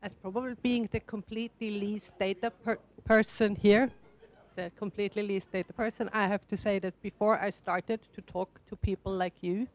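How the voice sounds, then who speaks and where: A woman speaks up from the audience and pokes fun at herself. She talks in a lively, animated way. Her voice sits at a middle pitch for a woman. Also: normal speed. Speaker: audience member. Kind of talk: comment from the audience.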